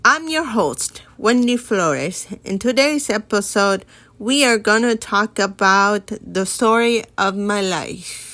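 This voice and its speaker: Young woman, twenties, from mexico, podcast host, unhurried, earnest, pauses and restarts. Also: mid-range voice, expressive, bright, forceful tone. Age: 20s. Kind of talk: personal storytelling podcast.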